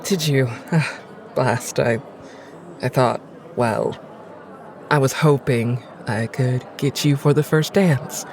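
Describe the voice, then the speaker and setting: A woman playing a haughty, cynical noblewoman in an audio drama, with an arch, aristocratic and deliberate manner. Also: a bass voice, a little mellow, some chatter behind, full character voice, low-quality recording. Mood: coy, needling. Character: haughty, cynical, insistent noblewoman, lady of the house.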